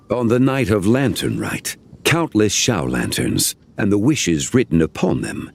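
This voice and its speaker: Very deep, formal ancient immortal. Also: male voice, darkish tone, a little hiss or hum, full character voice. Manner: measured, formal, archaic phrasing. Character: ancient, composed, formal male immortal, solemn and courteous. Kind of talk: scripted video game voice acting.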